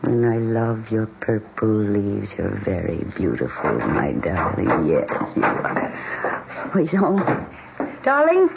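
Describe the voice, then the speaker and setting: An affectionate young newlywed wife in a vintage radio play. Her delivery swings widely from hushed tenderness to excited gushing. The recording is muffled and heavily covered in noise. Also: rumbling low, slow pace, gravelly, exaggerated voice. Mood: soft, doting. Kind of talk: old-time radio drama acting. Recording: muffled, noisy old radio broadcast.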